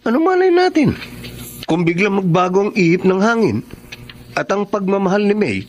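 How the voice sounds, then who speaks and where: An actor playing a smitten, stubbornly hopeful suitor in a Tagalog radio drama. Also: male voice, high voice, very animated, normal speed.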